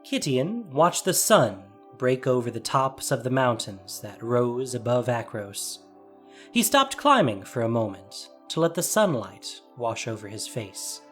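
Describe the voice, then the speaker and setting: Animated narrator of a dramatic tale. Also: mid-range voice, measured pace. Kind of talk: scripted dramatic story narration. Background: music.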